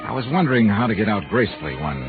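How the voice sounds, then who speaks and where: An actor playing a wry, worldly insurance investigator with clipped hardboiled delivery in a 1950s radio drama. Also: male voice, on the low side, big pitch swings, racing, music in the background. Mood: intrigued. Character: wry, worldly male freelance insurance investigator narrating his case. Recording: muffled, band-limited 1950s radio recording.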